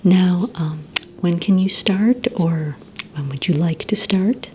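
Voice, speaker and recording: Soft, low, near-whispering villain receptionist played by a woman. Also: slow pace, slight background noise. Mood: helpful, businesslike. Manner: gentle, hesitant, courteous interviewer. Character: polite, organized female receptionist at a supervillain recruitment center. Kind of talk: soft-spoken ASMR roleplay.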